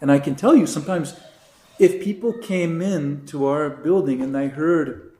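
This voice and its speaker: A man who is a priest, teaching a catechism class in a relaxed, chatty way with many asides. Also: on the high side, moderate pace. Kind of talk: informal class talk. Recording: roomy echo.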